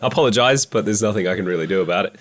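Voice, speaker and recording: A man's voice: lowish voice, racing. A man with an Australian accent and a head cold, co-hosting a podcast, reading news figures and quotes with dry asides. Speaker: podcast co-host.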